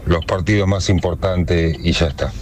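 A man with a very deep voice calling in to a radio show. He talks in a relaxed, homely way over a faint hiss. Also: moderate pace. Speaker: caller, football fan. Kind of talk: phone call to a radio show.

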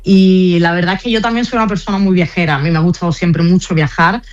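A woman's voice: lowish voice, fairly even intonation, fast talker, lo-fi sound. A young woman with a slight Andalusian Spanish accent tinged with Italian, speaking as a team press officer in a radio interview, chatty and full of fillers and restarts. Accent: Andalusian Spanish mixed with Italian. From Spain.

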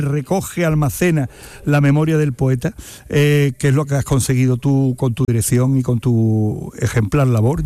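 Male radio host, mid pitch, mellow tone, conversational, close dry mic. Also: no echo. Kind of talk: radio interview.

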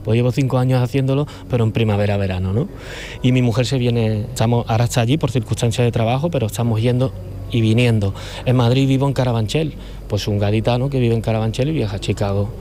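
A middle-aged painter with a Cádiz Andalusian accent, chatting as an interview guest in a loose, rambling way. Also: male voice, medium pitch, fairly even intonation, fast talker, dark tone, slight background noise. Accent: Cádiz Andalusian Spanish. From Cádiz, Spain. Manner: rambling, loose talker who often circles back. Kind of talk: relaxed conversational interview.

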